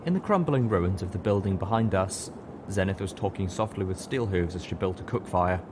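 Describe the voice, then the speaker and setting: A man's voice: sits low, brisk, noisy background. A man reading a first-person story aloud as scripted narration. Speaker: audiobook reader.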